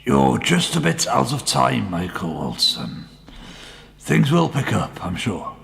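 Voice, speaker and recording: An actor playing a courteous, hesitant church helper in a radio play, halting and deferential. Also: male voice, medium pitch, big pitch swings, gravelly, fairly bright tone, full character voice. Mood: courteous, patient. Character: hesitant, courteous, troubled father helping at church.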